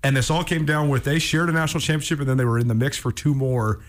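A male American sports radio host with a mid-pitched voice. He chats casually and tells stories with conversational emphasis. The setting is a radio studio. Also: fast. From Montana, United States. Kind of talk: casual radio chat, storytelling.

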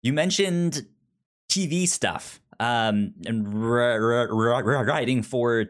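Man, podcast co-host, medium pitch, casual interviewer, hesitant stammering starts. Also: slow pace.

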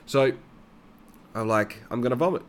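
A man's voice: medium pitch, normal speed, a little hiss or hum. A young man rambling through a casual personal monologue, swearing freely. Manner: rambling and heavily profane.

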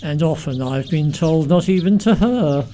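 A man's voice: on the high side, lively intonation, moderate pace, mellow, close to an everyday voice. A man playing a mild, pedantic clergyman, formal and courtly, in a theatrical radio comedy. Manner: formal, pompous, solicitous.

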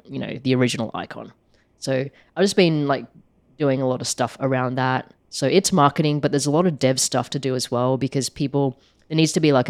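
A woman who is sick and sounds croaky, chatting casually as a podcast co-host, with many "like" fillers. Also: very deep voice, a little mellow, no room sound. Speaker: podcast co-host, app maker. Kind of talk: casual chat.

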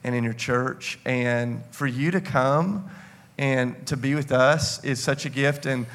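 A man talks in a casual, earnest way to a gathered congregation. He has a medium-pitched voice and the effusive manner of a pastor hosting guests on stage. He often doubles back on a phrase to press it home. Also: steady tone, slow pace, a bit bright. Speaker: church pastor hosting an interview.

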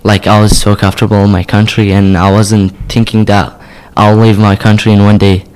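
A man's voice: lowish voice, flat delivery, moderate pace, darkish tone, clear, resonant tone, rough recording. A young man from Syria, an English speaker, telling his personal story in plain words. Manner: plain, non-native English.

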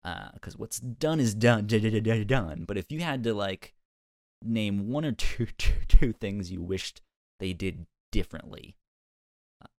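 A man's voice: fairly low pitch, expressive, unhurried, a little mellow, no echo, clean studio sound. A man hosting a review podcast in casual conversation, stammering and often repeating sounds and words as he goes. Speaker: podcast host.

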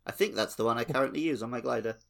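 A man's voice: medium pitch, very fast, creaky. A man co-hosting a casual gaming podcast in a chatty, jokey way. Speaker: podcast co-host. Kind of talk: casual chat about a video game.

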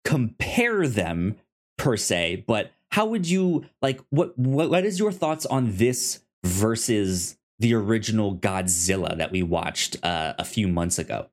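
A man co-hosting a podcast, talking casually about films like an enthusiastic fan, with halting, repeated starts. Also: on the low side, very animated. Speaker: podcast co-host. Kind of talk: casual movie discussion.

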